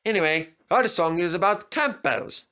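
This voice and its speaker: A man's voice: sits high, lively intonation, normal speed, pushed and bright, pitched above the performer's own voice. A man voicing a grumbling, fierce-hearted steam engine in a children's story, blunt and emphatic.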